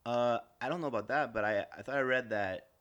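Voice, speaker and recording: A man's voice: medium pitch, brisk, a bit bright, clear, resonant tone, lo-fi sound. An American man co-hosting a podcast, bantering casually with dry, teasing jokes and a hesitant delivery. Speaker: podcast co-host.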